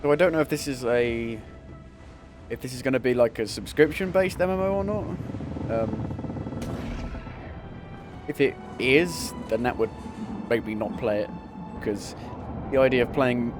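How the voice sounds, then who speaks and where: A man's voice: mid-range voice, measured pace, long pauses, music in the background. A man casually commenting as he starts playing a game on stream, rambling and chuckling at himself. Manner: rambling, chuckling, self-deprecating. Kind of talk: casual let's-play commentary.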